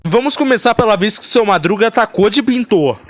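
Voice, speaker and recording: A Brazilian man with a slightly high voice speaks as a co-narrator. His delivery is informal and conversational, though scripted. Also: lively intonation, fairly quick.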